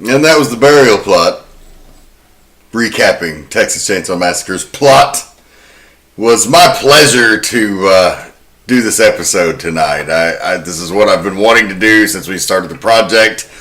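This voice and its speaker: A man's voice: on the low side, animated, unhurried, a bit bright. A man hosting a horror film podcast, speaking in a wry, sardonic way. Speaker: podcast host. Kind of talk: horror film recap and casual discussion.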